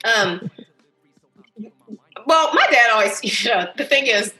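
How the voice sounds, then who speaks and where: A woman's voice: a little high, soft background music. An American woman in her early fifties chatting casually and animatedly on a video call, telling family anecdotes as a talkative podcast guest. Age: early 50s. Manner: animated, talkative, quick to laugh. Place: indoors, on a Zoom video call. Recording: roomy, echoey video call.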